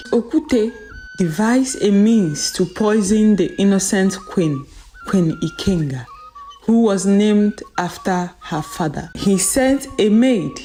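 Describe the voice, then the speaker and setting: A woman narrating a folktale in a dramatic, solemn and deliberate storytelling manner, repeating phrases for emphasis. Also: mid-range voice, dark tone, low-quality recording.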